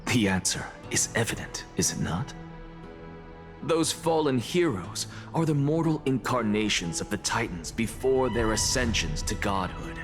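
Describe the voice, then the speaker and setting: An actor playing a proud, coolly theatrical professor in a video game, lecturing in a deliberate, pompous manner. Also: male voice, mid-range voice, lively intonation, music in the background, natural voice. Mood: coolly triumphant. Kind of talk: dramatic scripted game dialogue.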